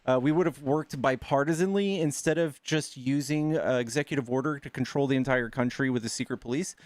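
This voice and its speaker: A young man argues back with exasperated sarcasm, swearing often. His mid-pitched voice is close and dry, with no room sound around it. He can sound weary one moment and pointed the next. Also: brisk, no echo. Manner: exasperated, sarcastic, swearing, says "dude" and "dog". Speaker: debater. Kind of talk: heated political debate.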